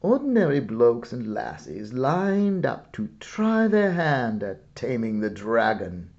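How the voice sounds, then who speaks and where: Male storybook reader, theatrical and very lively, unhurried with dramatic pauses, slightly dull recording. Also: mid-range voice. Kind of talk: animated read-aloud of a children's picture book.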